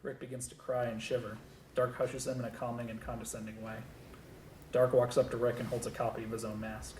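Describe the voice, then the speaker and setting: A man's voice: flat delivery, a little fast, darkish tone. A man reading scripted stage directions aloud as narrator at a table read. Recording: echoey room with low rumble.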